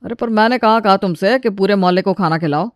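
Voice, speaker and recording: A woman's voice: medium pitch, quick pace, no echo, studio quality, lightly acted. A woman voicing an irritable, stingy husband in a children's cartoon story. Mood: irritated.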